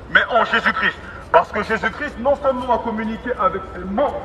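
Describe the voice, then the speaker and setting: High-voiced male street preacher on megaphone. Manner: declamatory, repetitive, halting restarts. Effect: megaphone amplification. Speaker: street evangelist. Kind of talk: open-air evangelistic preaching. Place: outdoors in a busy urban public space. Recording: megaphone voice outdoors, distant, echoing, street bustle. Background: street crowd and vehicles.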